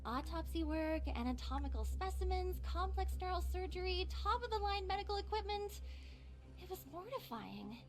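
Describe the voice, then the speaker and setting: A high, youthful female voice plays a sardonic doctor in a video game. She tells things articulately and theatrically, like someone whose conscience is torn. Music plays clearly under the voice. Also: lively intonation, moderate pace. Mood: confiding. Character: sardonic, morally torn young woman doctor. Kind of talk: dramatic video game voice acting.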